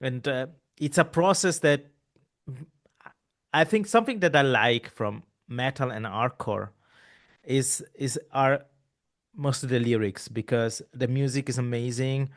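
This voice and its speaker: A man's voice: medium pitch, unhurried. A man, hosting a casual interview, talking in long rambling runs full of restarts. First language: Italian. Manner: rambling, with many restarts and repeated words. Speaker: podcast host.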